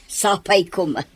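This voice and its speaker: A woman playing an older mother, warm and coaxing, who laughs easily while chatting. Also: medium pitch, husky, no echo, full character voice. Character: aging mother, gentle, eager to see son wed. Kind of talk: audio drama acting.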